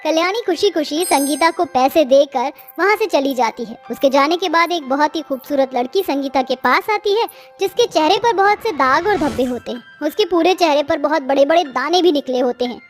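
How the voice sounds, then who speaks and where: A woman tells a scripted children's story. Her voice sits extremely high, and light jingle music plays faintly behind her. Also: moderate pace, few pauses.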